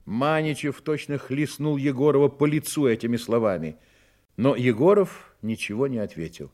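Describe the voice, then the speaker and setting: An actor narrating a Soviet radio drama as an earnest literary storyteller. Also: male voice, medium pitch, animated, normal speed, a little mellow, close to an everyday voice. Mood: earnest, dramatic. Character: earnest storyteller of a young man's trials.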